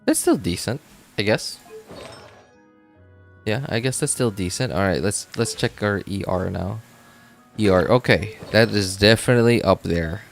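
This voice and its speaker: Low, gravelly, unhurried male game streamer. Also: soft background music. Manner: rambling, thinking aloud, repeats words. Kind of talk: casual livestream chat while playing.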